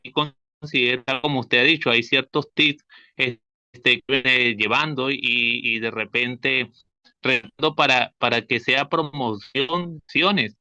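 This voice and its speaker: A man's voice: medium pitch, fairly even intonation, slow, sharp tone, no room sound, lo-fi sound. A man from Venezuela with a Venezuelan accent, a teacher commenting spontaneously, hesitant and repeating himself as he searches for words. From Táchira, Venezuela. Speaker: school religion teacher.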